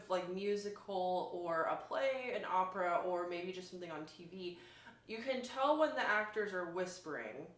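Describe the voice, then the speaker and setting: A woman's voice: medium pitch, a bit bright. A woman violinist giving a casual, enthusiastic and emphatic spoken demonstration, explaining things as she goes. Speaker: violinist reviewing an instrument. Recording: roomy, echoing sound.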